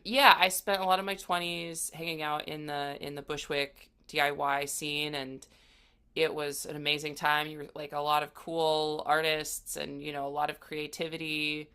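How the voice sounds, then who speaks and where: A woman's voice: deep voice, sharp tone, clear, resonant tone. An American woman, around her thirties, chatting and explaining things casually as an interview guest. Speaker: interview guest, guitarist and songwriter.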